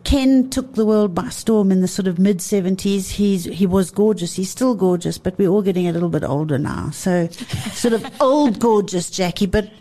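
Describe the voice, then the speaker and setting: Effusive, playful woman with a warm voice. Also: medium pitch, moderate pace. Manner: playful, effusive, laughs often. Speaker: wine commentator. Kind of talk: lively radio chat.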